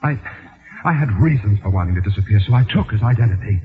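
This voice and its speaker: An actor playing an anxious, cornered impostor in an old-time radio drama, stammering and pleading. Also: male voice, fairly low pitch, animated, fast, natural voice. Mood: nervous, pleading. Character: anxious, cornered male impostor being blackmailed. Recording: tinny, narrow-band old radio recording.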